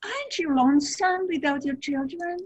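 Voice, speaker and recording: A woman's voice: sits high, lively intonation, normal speed, dull audio, a little hiss or hum. A woman playing an eager, tender-hearted little girl in a family radio drama. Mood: concerned. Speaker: actor in a radio play.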